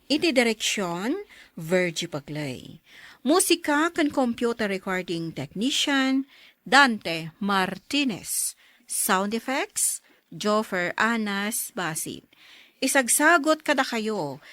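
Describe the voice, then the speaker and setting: Woman, radio drama announcer, scripted, declamatory, animated pitch, dry recording. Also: no room sound.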